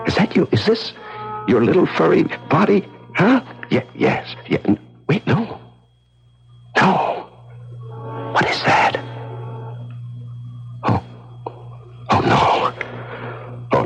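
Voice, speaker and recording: An actor playing a broken, gentle, half-mad male prisoner in a 1970s radio drama, halting, stammering and pleading. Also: lowish voice, gravelly, full character voice. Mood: bewildered, amazed. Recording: old radio broadcast with music.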